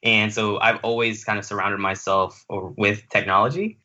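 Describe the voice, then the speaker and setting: A man's voice: fairly low pitch, level tone, moderate pace, sharp tone. A young man from Jamaica, a podcast guest chatting casually about his path into tech, with lots of "like" and "you know" fillers. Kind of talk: casual interview chat. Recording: dull lo-fi audio.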